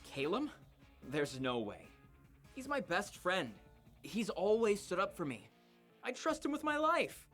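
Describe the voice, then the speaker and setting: A man's voice: fairly high pitch, sing-song, moderate pace, fairly bright tone, soft background music. Friendly, unflappable teen boy, visual novel. Upbeat, very varied intonation, easy manner. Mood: trusting. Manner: upbeat, easygoing, unbothered. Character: relentlessly cheerful, friendly teenage boy.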